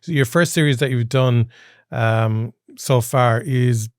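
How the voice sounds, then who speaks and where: A man's voice: mid-range voice, takes it slowly, a little mellow, no room sound, studio quality. A man hosting a podcast interview, easygoing and affirming. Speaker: podcast host. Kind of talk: interview chat.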